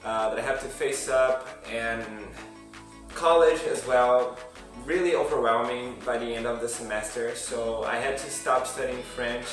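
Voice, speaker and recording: A young man speaks English as an in a relaxed vlog, pausing and restarting his sentences as he talks. His voice sits at an ordinary pitch and rings around a strongly echoing room, picked up from a little way off the mic. Music plays clearly underneath. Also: far from the mic. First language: Portuguese. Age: young adult. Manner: hesitant, with frequent restarts and fillers. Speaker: language learning vlogger. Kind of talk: casual vlog monologue.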